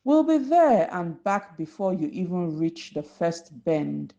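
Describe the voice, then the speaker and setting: A woman's voice: lowish voice, sing-song, takes it slowly, dark tone, exaggerated voice. A woman voicing a smug, confident male fish in a children's storybook, on a slightly dull recording. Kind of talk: storybook character voice.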